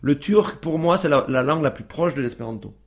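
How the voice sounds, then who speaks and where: A man's voice: on the high side, lively intonation, a little fast, gravelly. Guy on a radio show rambling along, stops and restarts a lot, says euh constantly. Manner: hesitant, many fillers and restarts. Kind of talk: casual radio interview.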